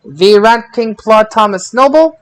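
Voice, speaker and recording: A man hosting a casual group discussion about sport, in a halting way with repeated words. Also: high voice, animated, clear, resonant tone. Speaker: podcast host.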